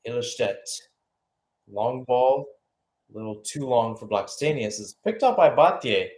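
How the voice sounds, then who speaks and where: A man's voice: medium pitch, animated, measured pace, darkish tone. A man giving live radio-style football play-by-play, mixing calls of the action with conversational, musing analysis. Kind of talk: live radio sports commentary.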